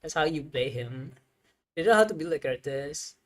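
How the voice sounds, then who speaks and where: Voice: medium pitch, expressive, normal speed. Casual, rambling game streamer. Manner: rambling, musing aloud. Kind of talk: casual livestream game commentary.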